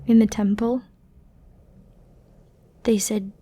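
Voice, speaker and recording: A woman playing an ancient, flirty and dangerous banished villainess in an intimate ASMR roleplay, breathy and often near a whisper, with tender, brooding, dramatic pauses. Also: medium pitch, little pitch movement, warm tone, voice pushed down.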